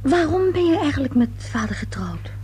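An actress playing a sullen, sarcastic young daughter in a radio play, with a husky, breathy voice, curt sardonic delivery. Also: female voice, high voice, sing-song, normal speed, warm tone. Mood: probing, wry. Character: sullen, sarcastic grown-up daughter needling her parents.

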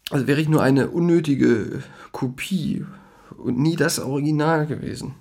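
An actor playing a neurotic, status-proud young man who is easily rattled, speaking haltingly and repeating himself in a radio play. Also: fairly high pitch, darkish tone. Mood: bleak, reflective.

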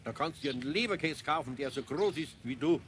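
An actor playing a good-natured older master carpenter with a Bavarian accent, homely and gently teasing, in a children's radio play. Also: male voice, fairly high pitch, animated, fast, slight background noise. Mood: teasing, encouraging.

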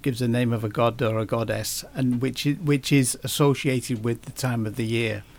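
A man speaking as a guest in a casual podcast conversation, halting often and restarting his sentences. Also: medium pitch, fairly even intonation, a little hiss or hum. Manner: halting, many restarts and fillers.

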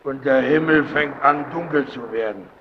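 An actor playing a domineering, suspicious and irritable lighthouse keeper in an old German radio drama, speaking in a stern, interrogating way. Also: male voice, medium pitch, normal speed, lightly acted. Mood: grumbling, goading. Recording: dull, noisy old radio recording.

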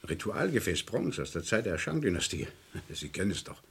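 A man's voice: low-pitched, sing-song, normal speed, a bit bright, breathy edge, exaggerated voice. An actor playing an anxious, courteous older colonel in a German crime radio play, hesitant and agitated, repeating his words. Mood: shocked, agitated. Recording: noisy vintage radio drama recording.

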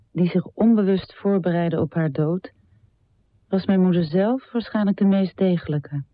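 An actress playing a composed adult daughter, narrating in a radio drama in a measured, matter-of-fact way. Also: female voice, medium pitch, dry recording, lightly acted. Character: composed adult daughter remembering her dead mother. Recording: dry, phone-like narrow band.

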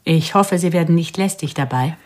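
An actress playing a cool, self-assured female contract killer in a radio play, controlled and sardonic with a husky voice. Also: sits low, normal speed, lightly acted. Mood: cool, commanding. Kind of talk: radio play dialogue and inner monologue.

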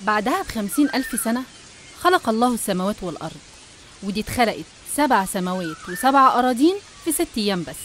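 A woman's voice: on the high side, big pitch swings, rapid-fire, fairly bright tone, a little hiss or hum. A woman with an Egyptian Arabic accent narrating religious stories in an explanatory, educational way.

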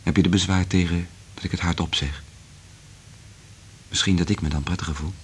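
An actor playing an earnest, resolute scientist in love in a radio play, speaking in a measured, intimate way with a husky voice. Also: male voice, deep voice, fairly even intonation. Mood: tender, resolute. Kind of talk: Dutch radio play acting.

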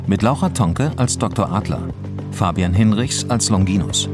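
A man's voice: on the low side, expressive, normal speed, music in the background. A man announcing the title and cast of a radio series in a scripted credits read.

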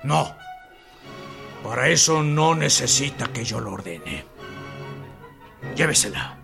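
An actor playing an authoritarian, hostile army general with a gravelly voice and Mexican accent in a radio drama. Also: male voice, medium pitch, big pitch swings, slow, heavily acted. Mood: indignant, menacing. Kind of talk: historical radio drama acting. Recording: music behind the voice.

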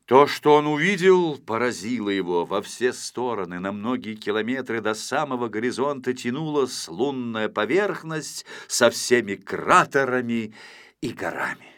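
A man narrating a children's story as a dramatized audiobook, in an expressive, dramatic way. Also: medium pitch, normal speed. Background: music.

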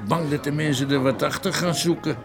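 A man plays a folksy Dutch police sergeant in a radio drama. His voice sits a little high over faint music. He is blunt and eager, talks in street idiom and trips over long words. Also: fairly even intonation, a little fast, close to an everyday voice. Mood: chummy, hesitant. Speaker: actor in a radio play.

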